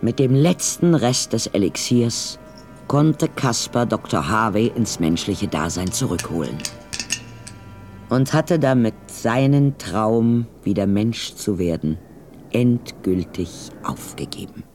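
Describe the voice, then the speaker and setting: Lebhaft modulierter, langsamer Hörspielerzähler. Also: male voice, lowish voice, lively intonation, slow pace, darkish tone. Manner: dramatic storytelling. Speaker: narrator. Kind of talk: scripted audio drama narration. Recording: faint music behind.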